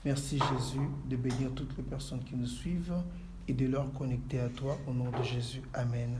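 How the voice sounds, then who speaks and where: A man from Congo who leads a church, answering calmly and praying in a measured, preacherly way. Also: mid-range voice, heavy background noise. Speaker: pastor. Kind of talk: calm interview answers and prayer. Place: inside a church.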